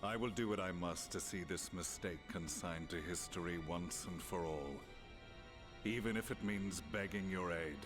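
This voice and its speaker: Game role: male actor as grave ex-commander, middle-aged, deep-voiced, measured and archaic in speech, weighed by regret. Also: music in the background, natural voice. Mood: resolute, remorseful. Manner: solemn, measured, archaic formal diction. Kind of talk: video game cutscene voice acting.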